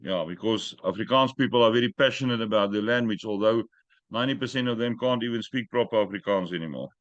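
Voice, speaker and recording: A man hosting a group call in English, which is not his first language, talking in a direct, plain-spoken and sometimes halting way. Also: fairly low pitch, no echo. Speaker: host. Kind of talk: casual group call chat. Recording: dry muffled call audio.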